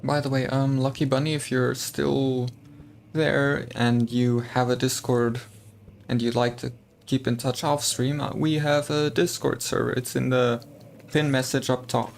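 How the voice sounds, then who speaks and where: A man's voice: mid-range voice, flat delivery, measured pace, creaky, a little hiss or hum. A man streaming a game, chatting casually, thinking aloud and talking to his chat. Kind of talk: casual gameplay commentary.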